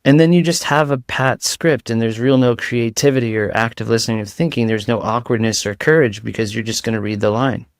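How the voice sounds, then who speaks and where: Man, light voice, guest chatting in an interview, piles on analogies, mid pitch, dry close sound. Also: no echo. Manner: animated, rapid-fire analogies, rambling restarts. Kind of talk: casual interview chat.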